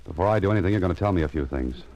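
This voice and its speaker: An actor playing a wry, tough private investigator in 1940s radio style, with dry, understated delivery. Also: male voice, deep voice, expressive, rapid-fire, darkish tone, slight background noise. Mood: firm, tense. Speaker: actor playing the lead detective. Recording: muffled vintage radio recording with music.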